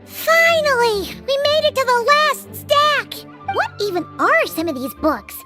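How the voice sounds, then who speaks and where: A woman voicing a tiny, chatty, excitable girl fairy companion who exclaims often and speaks of herself in the third person, in game cutscene dialogue. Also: very high voice, a little slow, loud music behind the voice, heavily acted.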